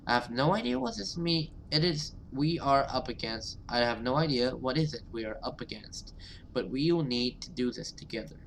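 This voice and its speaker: A man's voice: mid-range voice, animated, normal speed, gravelly, a bit bright, poor audio. Guy doing a tough loyal survivor in a horror game, urgent and defiant, bit of noise on it. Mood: urgent, determined. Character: urgent, protective, defiant survivor rallying a teammate. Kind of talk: horror visual novel read aloud.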